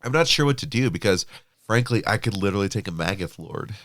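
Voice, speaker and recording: A man's voice: lowish voice, lively intonation, quick pace, a bit bright, dry recording, clean studio sound. A man co-hosting a podcast, chatting casually about tabletop wargaming with frequent fillers, a little sniffly from allergies. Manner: chatty, filler-heavy, laughs easily. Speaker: podcast co-host.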